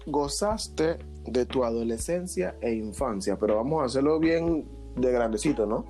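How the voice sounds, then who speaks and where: A man's voice: medium pitch, animated, normal speed, mellow, faint music behind. A man in his twenties with a Panamanian accent, hosting a casual podcast chat with friends in a slangy, joking way. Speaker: podcast host.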